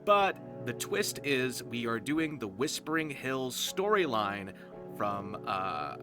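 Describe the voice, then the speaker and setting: A man's voice: medium pitch, big pitch swings, takes it slowly. A man hosting a gameplay series with casual, rambling, informal commentary. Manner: informal, rambling, occasionally profane. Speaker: roleplay series creator and host, voice actor. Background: music.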